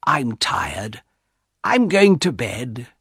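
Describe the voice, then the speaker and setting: A woman's voice: extremely low pitch, big pitch swings, very slow, vocal fry, slightly rough, dry recording, studio quality, exaggerated voice. A man reading a story aloud and voicing a worried older mother who frets about money.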